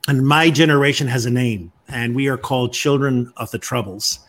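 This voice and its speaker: A man in his fifties tells his story as a podcast guest. He speaks at a measured pace, pausing often to gather his thoughts, in a mid-range voice. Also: mid-range voice. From Northern Ireland. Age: 50s. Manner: measured, frequent "uh" pauses. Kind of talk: storytelling interview.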